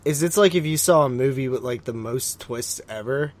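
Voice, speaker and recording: A man co-hosts a podcast in a medium-pitched voice. He talks casually and loosely, swears freely and likes to tease. His opinions come out in sweeping exaggerations. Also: moderate pace. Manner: profane, teasing. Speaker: podcast co-host.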